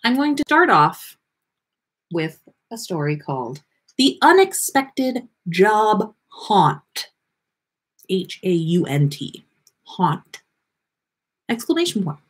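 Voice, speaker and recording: A woman hosting a livestream in a playful, expressive manner. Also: mid-range voice, takes it slowly, long pauses, slightly rough. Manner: playful, sweary, teasing, expressive. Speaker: podcast host reading fan fiction on a livestream. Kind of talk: casual livestream banter.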